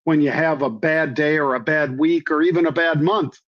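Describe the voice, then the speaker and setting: Hoarse guy explaining trading, lots of ums, kinda dull lo-fi sound. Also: on the high side, normal speed. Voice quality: hoarse from years of floor yelling. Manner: folksy, repeats phrases, many ums. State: slightly hoarse. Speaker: trading guest. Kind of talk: conversational explanation. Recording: dull lo-fi audio.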